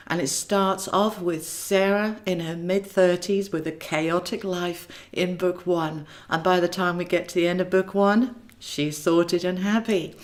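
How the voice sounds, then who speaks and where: A woman, an author narrating her own book. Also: fairly low pitch. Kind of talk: audiobook narration.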